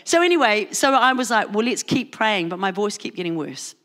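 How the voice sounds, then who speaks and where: A woman pastor with a New Zealand accent delivers a sermon at a church service. She tells a personal story with lively, self-mocking asides, in a voice of middling pitch. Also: a little fast. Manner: storytelling, animated asides with laughs.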